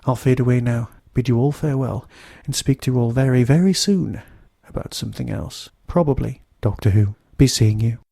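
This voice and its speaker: A man delivering a solo podcast review in a wry, enthusiastic way, with emphatic pauses. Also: mid-range voice, gravelly, dark tone, no echo, clean studio sound.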